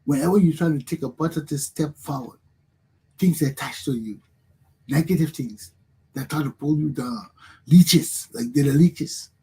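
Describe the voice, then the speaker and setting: Liberian man with a husky voice. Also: on the high side, moderate pace, warm tone, low-quality recording. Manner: preachy, repetitive, emphatic. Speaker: talk show host. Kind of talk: motivational talk show monologue.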